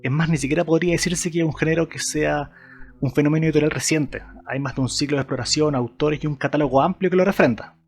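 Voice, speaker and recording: A man's voice: fairly high pitch, fast talker. A man with a Chilean accent, hosting his channel with scripted video essay narration addressed to viewers. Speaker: YouTube channel host.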